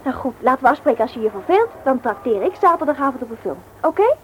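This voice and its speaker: A woman's voice: high voice, very animated, fast. A woman acting a reproachful, headstrong young woman in a radio play. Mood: casual, coaxing. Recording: noisy old radio play recording.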